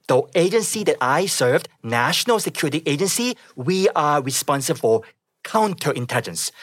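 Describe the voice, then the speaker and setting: A man's voice: a little high, fairly bright tone, no echo, studio quality. A man interpreting into English, speaking formally and carefully. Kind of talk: consecutive interpretation.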